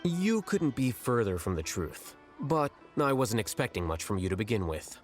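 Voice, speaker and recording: An actor playing a blunt, aloof male scholar in a game, measured and condescending. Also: medium pitch, sing-song, soft background music, natural voice. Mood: cool. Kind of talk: game voice acting.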